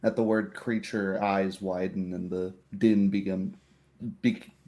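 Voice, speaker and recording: A man with a low, very mellow voice narrates a fantasy roleplay as game master. He tells it dramatically, in measured phrases with pauses. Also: little pitch movement.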